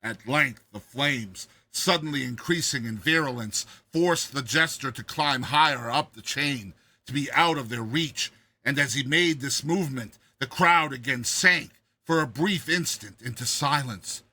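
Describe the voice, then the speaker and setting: A man narrating a classic short story as a dramatized reading, with theatrical and deliberate phrasing. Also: medium pitch, sharp tone, slightly rough.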